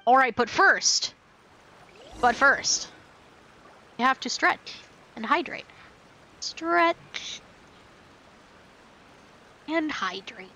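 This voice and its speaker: A woman's voice: high-pitched, lively intonation, extremely slow, pauses often, pushed and bright, a little hiss or hum, poor audio. A woman giving casual live commentary while streaming a game, with playful, wry asides. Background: faint game music.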